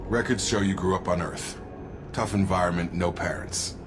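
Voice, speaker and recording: A principled male soldier in a sci-fi game played by an actor, low and deep in voice. He talks in an earnest, straightforward way, with music clearly audible behind him. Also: moderate pace, lightly acted. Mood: reluctant. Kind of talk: video game voice acting.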